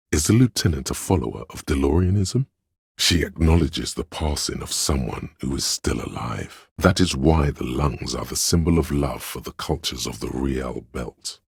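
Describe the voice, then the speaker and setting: Very deep, gravelly, musing inner voice. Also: male voice, no echo, studio quality, heavily acted. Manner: measured, theatrical, savoring vivid imagery. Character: inner voice of creative imagination, musing, arty, grandiose. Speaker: video game voice actor. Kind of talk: dramatic second-person game narration.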